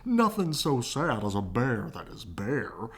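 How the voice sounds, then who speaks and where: Woman doing a grumpy sad bear from a kids book, slow, dragging words out. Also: a bass voice, big pitch swings, full character voice. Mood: indignant. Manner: emphatic, drawn-out complaining. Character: indignant, sorrowful storybook bear.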